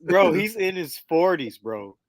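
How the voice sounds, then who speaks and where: Man in his twenties, co-host, relaxed, dry close sound. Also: sits high, a little slow, no room sound. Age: 20s. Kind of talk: casual chat.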